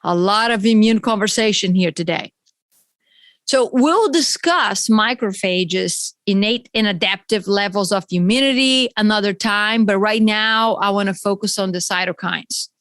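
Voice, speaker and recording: A woman's voice: medium pitch, unhurried, a bit bright, no room sound, studio quality. Chatty Brazilian-accented woman podcaster. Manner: chatty, explains terms with asides. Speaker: podcast host. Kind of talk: solo health podcast talk.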